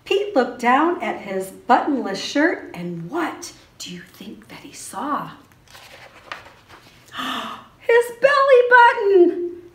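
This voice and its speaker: A middle-aged woman reads a picture book to small children like a preschool teacher. Her voice is fairly high and swings widely in pitch, with expressive, sing-song phrasing. She takes her time, and the room around her adds a noticeable echo. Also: slow pace. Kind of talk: animated picture-book read-aloud for preschoolers. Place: small indoor preschool classroom. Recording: roomy indoor echo.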